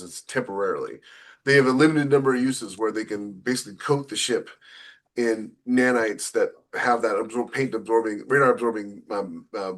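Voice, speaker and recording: A man co-hosting a podcast as a science fiction author, explaining things casually and peppering his talk with "you know.". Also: on the low side.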